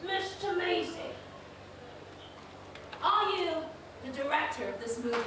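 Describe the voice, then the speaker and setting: A woman performs a domineering film director, sarcastic and measured, laying down authority in a stage piece. The recording is distant and very echoey, with clear noise. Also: very high-pitched, lively intonation. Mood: condescending, cold. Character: condescending, domineering film director scolding an actor. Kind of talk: theatrical solo stage monologue performance. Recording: echoey hall, distant mic, noisy.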